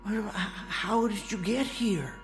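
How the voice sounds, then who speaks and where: A man playing an excitable, kindly amateur inventor in a radio drama, stammering and folksy. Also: sits high, slow pace, over music. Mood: curious. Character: excitable, kindly amateur inventor, male former teleportation engineer.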